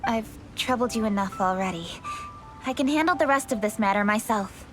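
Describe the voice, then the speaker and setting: A woman's voice: fairly high pitch, brisk, loud music behind the voice, close to an everyday voice. An actress voicing a dutiful, confident young noblewoman official in a video game, formal and composed. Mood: composed, resolute.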